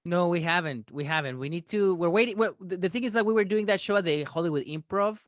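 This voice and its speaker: Male comedian guest, Spanish native speaker, slightly high voice, halting chat, dry. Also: no echo. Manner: halting, with fillers and repeats. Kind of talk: casual podcast chat.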